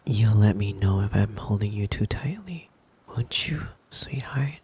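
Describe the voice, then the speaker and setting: Man playing a husky, whispery possessive wolf boy. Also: on the low side, expressive, warm tone, full character voice. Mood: teasing, tender. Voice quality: breathy, husky, often dropping to a whisper. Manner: slow, coaxing, teasing endearments. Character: possessive, doting young male wolf-boy lover. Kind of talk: intimate ASMR roleplay.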